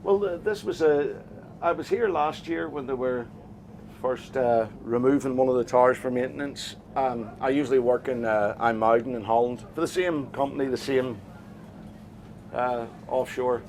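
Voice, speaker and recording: Northern Irish boat skipper, hesitant. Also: male voice, mid-range voice, moderate pace, darkish tone, a little hiss or hum. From Northern Ireland. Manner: hesitant, plain-spoken, many fillers and restarts. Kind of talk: casual interview answers. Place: on a small boat offshore.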